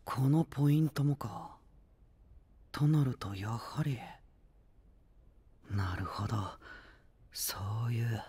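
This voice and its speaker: An actor playing a calm, clever young male knight in an anime-style voice drama, cool, confident and lightly mocking. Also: mid-range voice, slow pace, pauses often, slightly rough. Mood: quietly musing.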